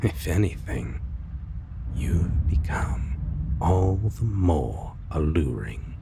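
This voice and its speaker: Man playing a very deep, slow, gravelly vampire. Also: sing-song, noisy background, heavily acted. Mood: aching, yearning. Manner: halting, breathy pauses, soft endearments. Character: brooding centuries-weary male vampire, tender former vampire hunter. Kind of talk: slow intimate romantic audio roleplay.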